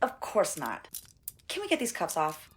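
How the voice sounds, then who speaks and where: A woman's voice: lowish voice, wildly swooping pitch, unhurried. An actress playing a proper, skeptical young woman caught up in an audio-play adventure. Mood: indignant. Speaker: actor.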